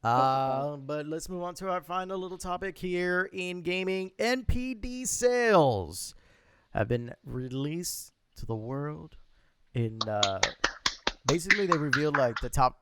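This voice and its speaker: A man's voice: a little high, animated, a little slow, fairly bright tone, clean tone, dry recording, studio quality. A young man co-hosting a podcast, chatting loosely and reading out a list with laughs and swearing. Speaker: podcast co-host. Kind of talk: casual chat.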